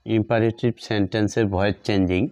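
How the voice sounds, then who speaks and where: A man's voice: lowish voice, steady tone, quick pace, warm tone, clean tone, dry recording. A man with a Bengali accent teaching grammar like a classroom teacher, repeating phrases step by step as if dictating while he writes. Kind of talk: classroom lesson, explaining while writing out examples.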